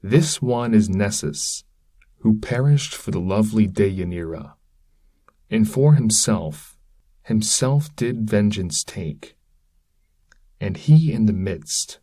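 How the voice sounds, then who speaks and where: A man voicing a calm, authoritative poet guide in a verse reading, explaining things solemnly and formally. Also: fairly low pitch, slightly rough, no echo, exaggerated voice.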